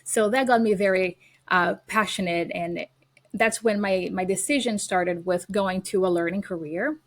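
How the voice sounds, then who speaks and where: A woman's voice: mid-range voice, moderate pace. Brazilian-accented woman telling stories. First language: Portuguese. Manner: earnest, storytelling, with frequent fillers and restarts. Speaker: guest. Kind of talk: casual interview.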